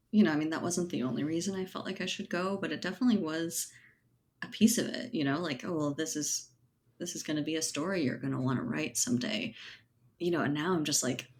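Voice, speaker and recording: A woman's voice: low-pitched, darkish tone, slight background noise. A woman speaking as a guest author in a casual, reflective interview, thinking aloud in loose, informal clauses.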